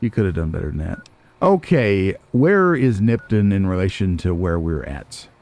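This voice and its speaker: A man hosting a let's play with casual, musing commentary, as if thinking out loud to himself. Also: low voice, very animated, moderate pace. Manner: musing, nitpicking, talks to himself.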